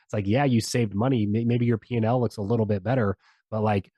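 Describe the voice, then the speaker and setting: Animated, persuasive man with a mellow voice. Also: lowish voice, fast, dry recording. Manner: animated, persuasive, loose and slangy. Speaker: podcast guest, software founder. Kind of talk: casual interview talk.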